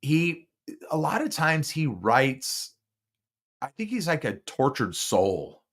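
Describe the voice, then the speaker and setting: A man's voice: on the high side, sing-song, takes it slowly, a bit bright. A man hosting a casual podcast, chatty and enthusiastic as a music fan. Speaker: podcast host.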